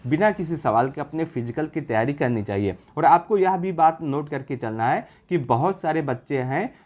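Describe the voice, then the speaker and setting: A man's voice: on the high side, animated, moderate pace, darkish tone. A man presenting an exam-prep video, explaining figures informally in an assured, repetitive way. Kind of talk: informal explanatory data commentary.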